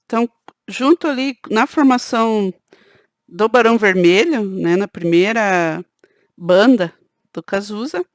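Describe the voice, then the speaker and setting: A woman's voice: medium pitch, a little slow, dry recording, studio quality. A woman with a southern Brazilian countryside accent, hosting her channel and talking casually to camera in a chatty way, often adding "né" to her sentences. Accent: Brazilian Portuguese, southern countryside (caipira). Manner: conversational, peppers sentences with "né". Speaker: channel host.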